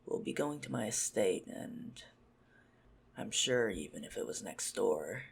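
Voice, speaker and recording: A man playing a possessive, menacing, smug vampire stalker in an ASMR roleplay, haughty and teasing in a deliberate murmur. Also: lowish voice, big pitch swings, creaky, slight background noise, exaggerated voice. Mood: possessive, commanding.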